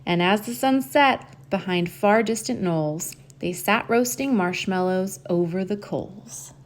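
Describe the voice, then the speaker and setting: A woman reading a rhyming children's picture book aloud in an expressive storytelling style, drawing out key words. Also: mid-range voice, takes it slowly. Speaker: storybook reader and host.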